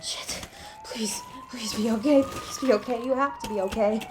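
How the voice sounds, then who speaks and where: A woman's voice: a little high, normal speed, background noise, natural voice. A woman playing a devoted, guilt-ridden superhero in an intimate, emotional audio roleplay, with a pleading, tender way of speaking. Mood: desperate whispering. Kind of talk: dramatic audio roleplay, intimate second-person.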